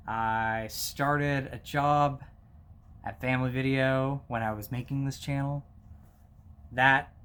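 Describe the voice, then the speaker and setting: Slow, halting man in his thirties. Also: mid-range voice, fairly bright tone, clear, resonant tone. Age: 30s. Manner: halting, many fillers and restarts, heartfelt. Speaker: movie collector YouTuber. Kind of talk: casual personal vlog talk.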